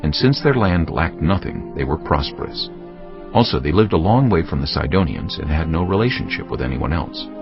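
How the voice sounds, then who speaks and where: A man's voice: a bass voice, animated, normal speed, muffled recording. A man narrating scripture as a dramatised audiobook in a solemn storytelling manner. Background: music.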